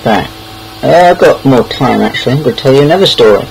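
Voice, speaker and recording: A man's voice: mid-range voice, expressive, quick pace, vocal fry, slight background noise, rough recording. A young British man casually telling anecdotes in a rambling, hesitant way, repeating words as he goes. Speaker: online storyteller.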